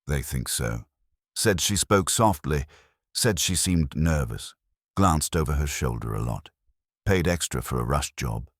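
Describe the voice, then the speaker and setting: An earnest police sergeant from an audio mystery, played by a male narrator, reports politely in a very low voice. He is deferential and a little hesitant, recorded dry and close. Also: lively intonation, a little slow, dry recording, heavily acted. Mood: earnest reporting.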